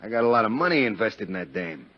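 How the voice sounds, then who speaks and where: An actor in a 1950s radio drama playing a crude, boastful, jealous Chicago businessman, rambling and overfamiliar in folksy roughneck diction. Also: on the low side, animated, fast talker. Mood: pleading, aggrieved. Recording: dull vintage 1950s radio recording.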